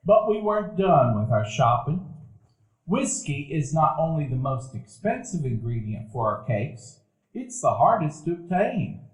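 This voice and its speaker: Man narrating a nostalgic radio play. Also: on the high side. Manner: warm, reminiscing storyteller. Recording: echoey room, live audience.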